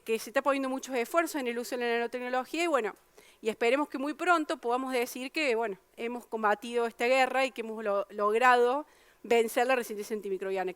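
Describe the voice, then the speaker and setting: A woman with an Argentine Spanish accent gives a public science talk. Her voice is smooth and resonant and sits fairly high, and the sound is dry and close. She explains patiently, full of fillers and self-corrections. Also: no echo. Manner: explanatory, many fillers and restarts.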